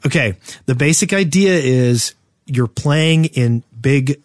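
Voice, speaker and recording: A man's voice: medium pitch, lively intonation, takes it slowly, dry recording, studio quality. A man hosting a podcast, chatting casually about gaming and telling stories with quoted back-and-forth. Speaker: podcast host.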